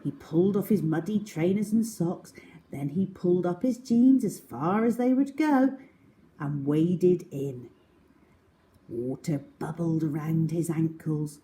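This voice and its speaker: A woman narrating a children's story in an expressive, scripted read-aloud style. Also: on the low side, unhurried.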